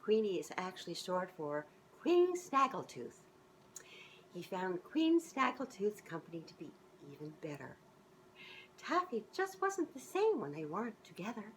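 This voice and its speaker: Very animated middle-aged woman reading a storybook. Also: medium pitch, a little slow, a little hiss or hum, rough recording. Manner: expressive, sing-song, with asides addressed to child listeners. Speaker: children's author reading her own book aloud. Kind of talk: storybook reading for young children. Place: small living room, on a couch indoors.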